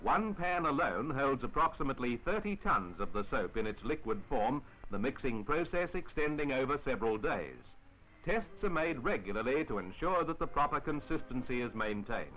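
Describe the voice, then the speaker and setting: A man narrating a 1950s newsreel in a formal, measured announcer style on an old film soundtrack. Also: medium pitch, expressive, bright, forceful tone, rough recording. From Australia. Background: music.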